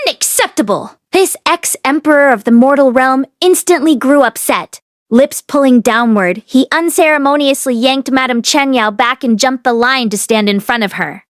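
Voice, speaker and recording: A woman's voice: on the high side, no room sound, studio quality. A woman narrating a novel aloud as the host of a read-along. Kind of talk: expressive story narration.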